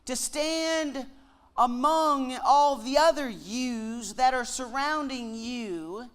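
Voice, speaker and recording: A Canadian man with a slight Southern twang, giving a joking sermon-style lecture with slow, deliberate emphasis on key words. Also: very high voice, a bit bright. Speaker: guest speaker at a Bible lectureship.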